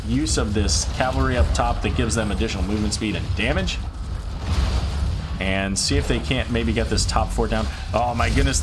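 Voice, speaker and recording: Male esports caster, mid pitch, tired and a bit raspy, game music underneath. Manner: quick excited calls, then loose rambling sign-off. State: tired, voice getting raspy late at night. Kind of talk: solo esports play-by-play commentary.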